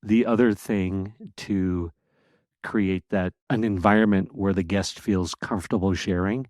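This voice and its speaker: A man's voice: low-pitched, measured pace, dry recording, studio quality. Outgoing man with a powerful, projecting voice. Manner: animated, laughs often, outgoing. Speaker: podcast guest. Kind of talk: casual interview conversation.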